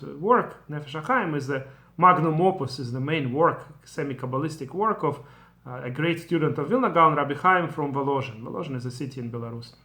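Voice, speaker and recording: Man teaching, bright voice. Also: fairly high pitch. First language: Russian. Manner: digressive, thinking aloud with asides. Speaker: Torah teacher. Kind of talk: explanatory lecture.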